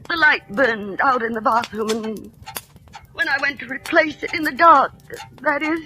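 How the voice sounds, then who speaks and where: An actress playing a nervous, frightened middle-aged landlady in a vintage radio drama, speaking in a halting, evasive way. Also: sits high, bright, forceful tone, a little hiss or hum, rough recording. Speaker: landlady and mother. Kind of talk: 1940s radio acting.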